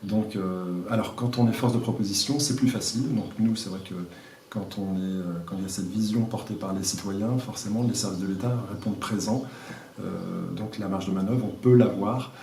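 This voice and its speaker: A man with a fairly low voice gives informal interview answers as a local mayor. He speaks hesitantly, often restarting as he looks for the right word. The recording is very roomy, picked up from a distance, with faint noise. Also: fairly even intonation, darkish tone. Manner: hesitant, halting, searching for words. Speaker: village mayor being interviewed. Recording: echoey room, distant mic, faint noise.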